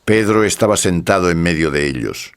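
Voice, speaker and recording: Low-voiced man for scripture narration, grave and measured scripted delivery. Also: expressive, smooth. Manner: solemn, measured.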